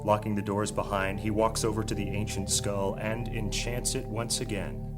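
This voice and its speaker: A man narrating a fantasy audio drama in a solemn, measured, scripted storytelling style. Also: lowish voice, little pitch movement. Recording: loud music bed behind.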